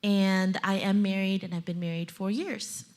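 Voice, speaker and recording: A woman's voice: medium pitch, steady tone, moderate pace. A woman in her thirties introducing herself as a panel guest, plain and matter-of-fact. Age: 30s.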